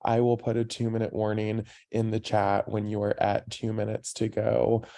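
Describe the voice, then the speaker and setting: A man's voice: lowish voice, completely flat delivery, normal speed, gravelly, dark tone. A man chairing an online conference session, giving speaker instructions and introductions in a matter-of-fact way with fillers. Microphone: video call.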